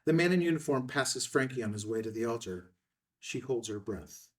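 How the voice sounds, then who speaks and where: A man's voice: medium pitch, expressive, normal speed, distant mic. A man reading scene headings and stage directions in a clipped, scripted narration at a table read.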